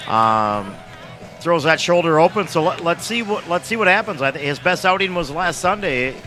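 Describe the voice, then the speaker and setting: A man's voice: fairly high pitch, expressive, moderate pace, sharp tone, soft background music. A man doing color commentary for a baseball game, hesitant and filling his analysis with ums and uhs. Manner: hesitant, filler-heavy analysis. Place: outdoor ballpark.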